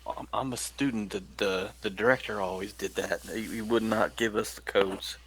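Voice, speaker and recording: A man's voice: lowish voice, steady tone, normal speed, fairly bright tone, slight background noise. A man voicing a confused young male medic student who hesitates and stammers, just woken up. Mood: flustered. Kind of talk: in-character roleplay.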